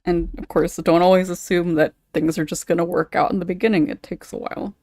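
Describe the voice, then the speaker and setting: A woman with a somewhat low voice hosts an informal podcast conversation. She talks off the cuff with a tongue-in-cheek touch, and the recording is dry, free of room sound. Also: steady tone, fairly quick, a little mellow, dry recording. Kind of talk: casual group chat.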